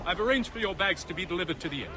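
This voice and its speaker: An actor playing an eager, deferential young gentleman aide in a colonial-era video game, speaking formally and flatteringly. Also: high-pitched, racing. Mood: eager, respectful. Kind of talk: video game cutscene acting.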